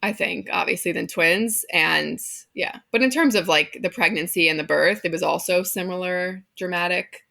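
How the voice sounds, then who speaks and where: A woman's voice: lowish voice, moderate pace, creaky, hardly any pauses, bright, forceful tone. A woman in her thirties, born in Hong Kong, a mother of twins speaking candidly and matter-of-factly as a podcast guest. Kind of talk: casual interview chat.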